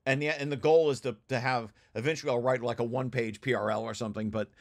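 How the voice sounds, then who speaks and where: A man's voice: mid-range voice, steady tone, fast, a crowd in the background. A man giving an informal technical explanation as a presenter, often restarting and repeating his phrases. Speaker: presenter of a research paper, study group leader. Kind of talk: informal technical explanation over shared slides.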